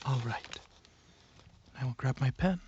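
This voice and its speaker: A performer of unclear sex voicing a calm, polite dragon alien researcher in a soft, whispery, gently halting ASMR roleplay, close to the microphone. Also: mid-range voice, noisy background, lightly acted. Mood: quiet, absorbed. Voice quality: soft, breathy, often whispery. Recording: close, dry, slightly muffled recording.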